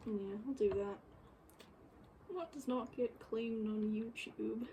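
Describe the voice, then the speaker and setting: A woman giving casual, unscripted commentary while working on screen, rambling and halting as she goes. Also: a little high, mellow, a little hiss or hum. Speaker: game developer recording a work-in-progress video. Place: bedroom, indoors.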